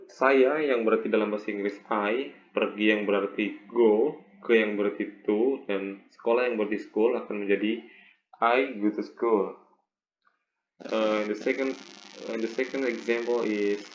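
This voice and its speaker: A young Indonesian man with an Indonesian accent gives an instructional presentation as a student teacher, halting and often repeating words. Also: on the low side, fairly even intonation. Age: young adult. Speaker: English education student presenting a teaching video. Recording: echoey room, muffled, faint noise.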